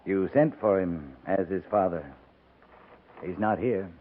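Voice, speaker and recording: An actor playing a firm, plain-spoken frontier cavalry captain in a 1950s radio western, terse and grave. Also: male voice, sits low, a little slow. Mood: stern. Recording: narrow tinny 1950s radio recording.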